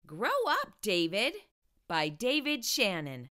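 A woman storyteller narrating a children's read-aloud in an expressive, deliberate manner. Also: mid-range voice.

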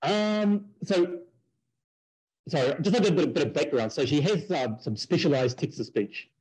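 Brief: husky male researcher, casual conference Q&A on a headset. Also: fairly high pitch, animated, a little mellow, rough recording. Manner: casual, many fillers and quick yeps. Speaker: researcher presenting a talk. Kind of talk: conference talk and Q&A. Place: indoors, small room. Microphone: headset on video call.

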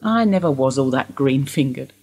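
A woman playing a calm, understated, weary bereaved mother in a radio play. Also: rumbling low, moderate pace, breathy edge, full character voice. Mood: dry, weary. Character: weary, composed bereaved English mother under police questioning. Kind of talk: naturalistic radio drama acting.

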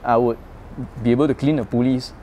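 A man's voice: medium pitch, a little fast, slight background noise. A man who grew up in Malaysia, speaking, chatting casually about his road bike in an open parking garage at night, full of fillers and restarts. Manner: chatty, animated when telling stories, many fillers and restarts. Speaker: bike owner, guest. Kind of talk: casual interview chat.

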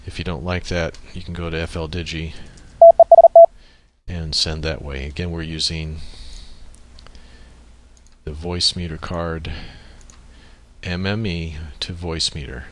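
A man with a very low, bright and forceful voice walks through a software setup. He explains things methodically in an informal way, often thinking aloud as he goes. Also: steady tone, moderate pace, long pauses. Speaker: tutorial presenter. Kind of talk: informal software screen-recording tutorial. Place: indoors at a computer, voice-over on screen recording.

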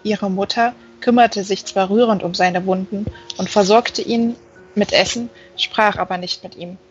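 A woman reading a novel aloud as scripted audiobook narration. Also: mid-range voice. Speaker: audiobook reader. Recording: slightly dull, band-limited sound.

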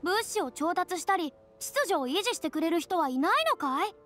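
A woman's voice: very high-pitched, animated, normal speed, slight background noise. An actress playing a confident, theatrical young lady who talks in a boyish manner in an anime game. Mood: confident, concerned.

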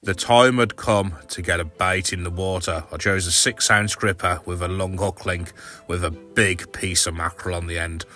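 A man's voice: sits low, steady tone, normal speed, pushed and bright. Chatty, easygoing man vlogging, music behind. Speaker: angling vlogger and host. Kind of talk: casual vlog chat and narration.

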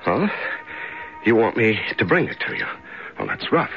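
An actor playing a weak, despairing prisoner in a radio drama, rambling and halting with bitter resignation. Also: male voice, on the low side, huge pitch swings, creaky, over music, heavily acted. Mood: weary, resigned. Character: weak, despairing male prisoner talking to a mouse. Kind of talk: 1970s radio drama acting. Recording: dull vintage radio recording.

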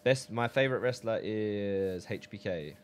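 A man's voice: lowish voice, a little slow, background noise. A man chatting casually on a game live stream, reading viewer messages aloud.

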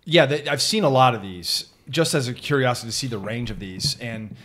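A man's voice: mid-range voice, fairly quick, a bit bright, slight background noise. A man hosting a podcast, talking casually and rambling a bit into a studio mic. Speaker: podcast host. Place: studio set with brick wall. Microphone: studio mic on stand.

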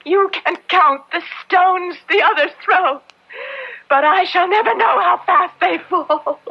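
A woman's voice: very high-pitched, a little slow, muffled recording. An actress playing a defiant, anguished young woman condemned as a witch, impassioned in an old-time radio drama. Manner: impassioned, archaic diction.

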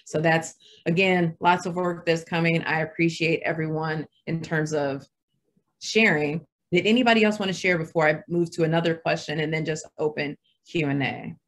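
A woman presenter moderating an online roundtable, speaking in a measured, appreciative way over a video call. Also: low voice, steady tone. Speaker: webinar presenter.

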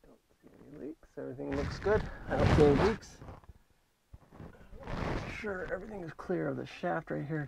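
Man, fifties, boat owner narrating his own repair, somewhat high voice, loud engine noise behind. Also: gravelly. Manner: plain practical talk with fillers, thinking aloud while working. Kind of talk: hands-on repair walkthrough. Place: cramped boat engine room. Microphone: action camera mounted in the engine room. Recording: noisy engine room. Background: running boat engine.

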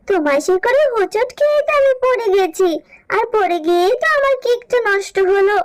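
A tiny poor village girl, super high squeaky voice, whiny, dry close sound. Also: no echo. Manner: whiny, pleading child's talk. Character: poor little village girl, cake-loving. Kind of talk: cartoon voice acting.

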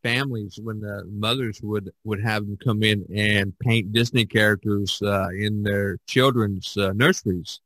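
A man hosting a casual podcast discussion as an artist, speaking haltingly with repeated false starts. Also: on the low side, fairly even intonation, a bit bright, poor audio.

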